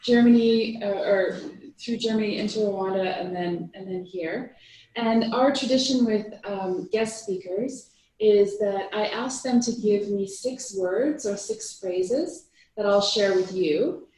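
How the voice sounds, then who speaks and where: A woman's voice: medium pitch, unhurried, darkish tone. Woman host of a workshop, chatty and friendly, distant mic, echoey room with faint noise. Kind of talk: facilitating a round table.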